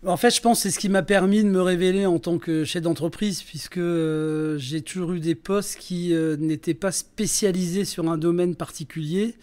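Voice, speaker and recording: A man in his fifties from northern France sits as a podcast guest and talks about his working life. His voice sits a little high for a man. He hesitates often and fills the gaps as he goes. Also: fairly even intonation, normal speed, few pauses, a little mellow. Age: 50s. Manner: hesitant, many fillers and restarts. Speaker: podcast guest, entrepreneur and performer. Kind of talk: casual interview talk.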